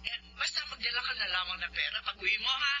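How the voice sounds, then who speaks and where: A woman's voice: on the high side, lively intonation, fast talker, sharp tone. A woman playing a nagging, wheedling middle-aged mother in a radio drama, whiny and demanding. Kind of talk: Tagalog radio drama acting. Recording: dull, noisy radio drama audio.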